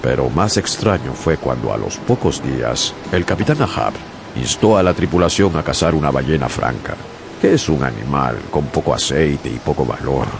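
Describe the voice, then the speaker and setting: A man narrating a radio adaptation of a sea story in a measured storytelling voice. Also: extremely low pitch, animated, vocal fry, noisy background. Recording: music bed under the voice.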